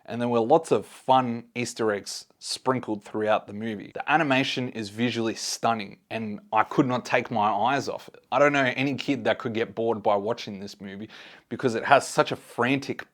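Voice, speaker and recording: A man's voice: mid-range voice, moderate pace, fairly bright tone. A man hosting a casual movie review, speaking conversationally and giving his opinions to his viewers. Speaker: host, film reviewer.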